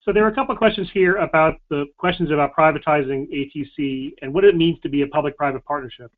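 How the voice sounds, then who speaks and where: Fast-talking male moderator on tinny line. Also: a little high. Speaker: moderator relaying questions. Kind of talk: casual question-and-answer moderating. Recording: tinny, phone-like band-limited audio.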